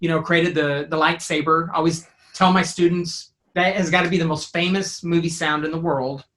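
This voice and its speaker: Bright-voiced, higher-pitched man, rambling enthusiastically. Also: fairly even intonation, normal speed. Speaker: sound designer and composer; teacher. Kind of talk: casual interview talk.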